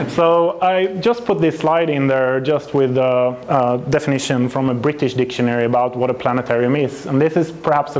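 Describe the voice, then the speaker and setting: A man's voice: medium pitch, a little mellow. A man in his thirties with a Swedish accent, a native Swedish speaker, giving a chatty, self-deprecating conference presentation on a lapel mic, with frequent ums and restarts. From Sweden.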